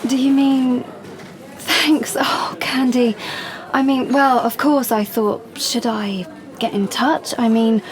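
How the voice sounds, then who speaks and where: An actress playing an anxious, defensive young British woman in an audio drama, speaking in a breathy, hesitant way. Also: a little high, fairly even intonation, slow. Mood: nervous, rambling. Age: young adult. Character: anxious, defensive young pregnant woman, confiding yet pushy. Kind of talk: audio drama monologue and dialogue.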